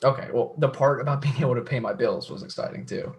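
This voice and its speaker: A man's voice: mid-range voice, close to an everyday voice. Hesitant, nerdy young man played by an actor. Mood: earnest, friendly. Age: young adult. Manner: hesitant, halting. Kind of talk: stage play dialogue.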